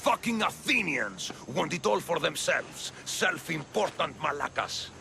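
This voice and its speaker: A man playing a gruff, foul-mouthed, gossipy sailor who talks coarsely and scornfully. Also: fairly high pitch, sing-song, measured pace, noisy background, full character voice.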